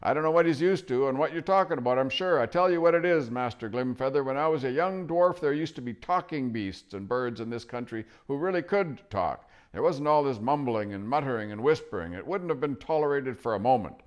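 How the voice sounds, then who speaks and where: A man voicing an old, deaf, irritable male dwarf who blusters and complains loudly. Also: mid-range voice, lively intonation, fairly quick, hardly any pauses, dull audio, voice pushed up, lightly acted. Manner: cranky, blustering.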